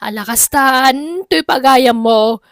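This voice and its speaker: A woman's voice: sits high, slow, fairly bright tone, dry recording, clean studio sound. A woman storyteller narrating an Ilocano radio-style drama.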